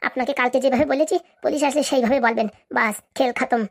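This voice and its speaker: A woman's voice: high-pitched, fairly even intonation, fast talker. A woman voicing a cunning, boastful henchwoman and election fixer in a comic cartoon drama, assertive and reassuring. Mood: confident.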